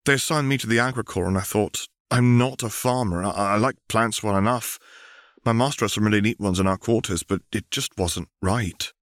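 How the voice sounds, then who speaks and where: A man's voice: fairly low pitch, fairly quick, dry recording, clean studio sound, close to an everyday voice. A man voicing an earnest young male Jedi apprentice in a fan fiction reading, sincere and modest. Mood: sympathetic. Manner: sincere, modest, gently apologetic.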